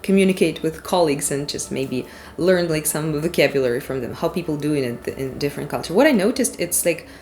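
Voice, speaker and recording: A woman's voice: low voice, lively intonation, fairly quick, a little mellow, slight background noise. A woman from Ukraine with a Ukrainian accent, doing a casual tarot reading in English as a non-native speaker, rambling and frank with casual swearing. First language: Ukrainian or Russian. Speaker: tarot reader.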